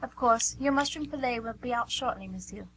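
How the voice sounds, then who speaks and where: A woman performs a courteous restaurant waitress in a radio play. Her voice is high and bright, with formal service manners, over a slightly noisy recording with a hum. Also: brisk. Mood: polite. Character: polite young French restaurant waitress. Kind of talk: amateur radio play acting.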